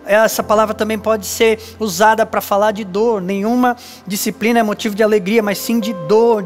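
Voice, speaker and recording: A man in his thirties with a Brazilian Portuguese accent, a pastor giving an earnest, measured sermon with emphatic pauses on a church stage. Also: high-pitched. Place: dark church stage with lights, indoors.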